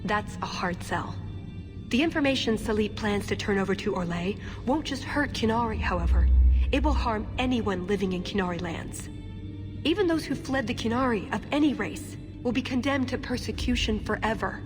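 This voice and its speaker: Actress as earnest elf woman, idealistic, wry touches, game dialogue, long reverb, clear music underneath. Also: a little high, animated, normal speed, close to an everyday voice. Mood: earnest, troubled. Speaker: video game character.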